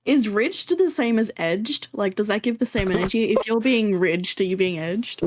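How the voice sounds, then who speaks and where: A woman's voice: mid-range voice, normal speed, background noise. A woman guest on a livestream, chatting casually and playfully.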